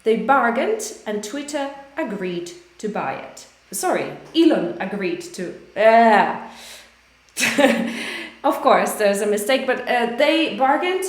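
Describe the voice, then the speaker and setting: Woman teacher, hoarse, unhurried and hesitant, fairly high voice, roomy echo. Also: big pitch swings. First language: English. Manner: slow, hesitant, many fillers and restarts. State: hoarse, losing her voice. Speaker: English teacher. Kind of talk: live online English lesson.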